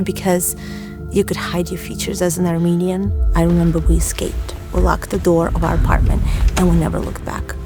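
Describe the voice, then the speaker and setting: Low-pitched woman from Azerbaijan, gravelly edge. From Azerbaijan and Russia. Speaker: church member giving testimony. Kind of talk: personal testimony storytelling.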